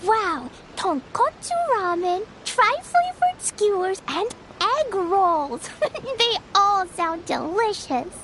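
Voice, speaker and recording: A woman's voice: extremely high pitch, sing-song, slow, exaggerated voice, rough recording. A woman voicing a sweet, wide-eyed little girl in a video game, eager and innocent, with childish wording. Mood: delighted wonder. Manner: childish wording, gushing wonder, hesitant questions. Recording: loud noise behind the voice.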